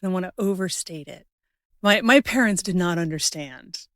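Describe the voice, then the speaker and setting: Low-voiced woman hosting an interview, dry sound. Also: animated, moderate pace, no echo. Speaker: podcast host and interviewer. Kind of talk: scripted introduction and conversational interview.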